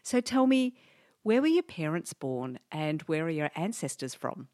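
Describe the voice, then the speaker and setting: A woman's voice: low-pitched, big pitch swings, a little slow, darkish tone, dry recording, studio quality. An Australian woman hosting a podcast interview, bubbly and enthusiastic. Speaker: podcast host.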